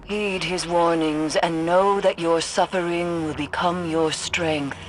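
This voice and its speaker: A woman playing a haunted, grim, mystical warrior seer in a video game, solemn and intense, often hushed and breathy. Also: fairly low pitch, fairly even intonation, takes it slowly. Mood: sinister, hushed. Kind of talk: scripted dramatic game voice acting. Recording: noisy game cutscene ambience.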